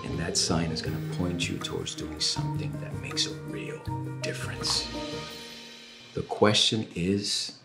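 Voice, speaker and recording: An actor playing a solemn, kindly man in an earnest, measured movie trailer monologue, hushed at the ends of phrases. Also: sits low, vocal fry. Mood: solemn, inspiring. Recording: loud music under the voice.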